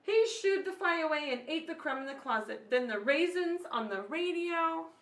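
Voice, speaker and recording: A woman's voice: sits high, lively intonation, normal speed, a bit bright. A woman in her thirties reading a picture book aloud to children, expressively, with little questions and asides to them. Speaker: children's storyteller. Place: small room at home, indoors. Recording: slightly echoey room.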